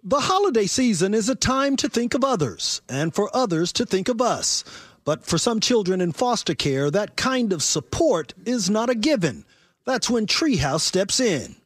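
A man's voice: high-pitched, sing-song, normal speed, no room sound, studio quality. A man narrating a scripted news report as a radio reporter.

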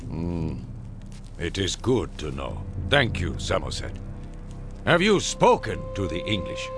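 Older chief, deep voice, expressive delivery, commanding, formal, measured, radio drama with music behind. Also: male voice, full character voice. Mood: grave, considering. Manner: solemn, deliberate, formal. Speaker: narrator and chief. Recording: radio play with music underneath.

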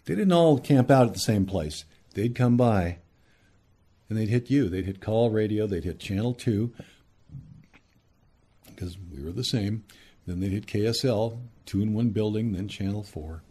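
A man's voice: fairly low pitch, unhurried, mellow, breathy edge. An older man, a veteran radio journalist, reminiscing in an interview in short emphatic phrases.

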